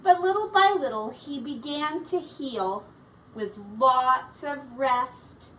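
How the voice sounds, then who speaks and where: Woman, teacher reading a storybook, unhurried pace, expressive, explanatory asides, away from the mic. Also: high voice. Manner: pausing to explain words and comment on pictures. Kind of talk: expressive children's picture-book read-aloud. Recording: distant mic with faint background noise.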